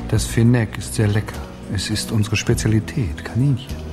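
Actor as very deep-voiced, formal waiter. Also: male voice, steady tone, normal speed, music in the background, natural voice. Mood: evasive. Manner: formal, evasive. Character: polite, evasive male restaurant waiter. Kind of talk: radio drama acting.

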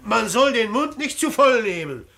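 An actor playing an evasive, blustering village innkeeper in a radio play, halting and repeating his words. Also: extremely high pitch, very animated, vocal fry, pushed and bright, full character voice. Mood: defensive, blustering. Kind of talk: naturalistic German radio drama dialogue.

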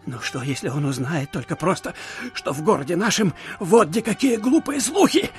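High-voiced, flustered provincial official. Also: male voice, normal speed, soft background music. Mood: panicky. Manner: excitable, blustering. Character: flustered, panicky provincial court official, a man. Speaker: actor in an audio play. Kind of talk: theatrical radio play acting.

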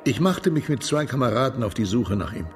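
Actor as animated, creaky-voiced old soldier storyteller. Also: male voice, medium pitch, fairly quick, over loud music, close to an everyday voice. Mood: grave recollection. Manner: animated, reminiscing. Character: Russian émigré former cavalryman, animated drinking storyteller. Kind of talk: German radio drama acting.

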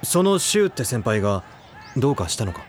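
An actor playing a stoic, blunt high-school boy in an audio drama, terse and calm. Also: a little fast, a little hiss or hum, close to an everyday voice. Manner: terse, calm, formal-sounding. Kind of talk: Japanese audio drama acting.

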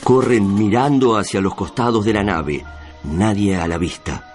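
A man narrating a radio drama in a solemn, measured, literary manner. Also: fairly low pitch, darkish tone. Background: music.

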